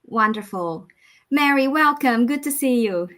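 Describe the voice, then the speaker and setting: Slow, welcoming woman host. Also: fairly high pitch, expressive, fairly bright tone. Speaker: host, moderator. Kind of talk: panel moderation.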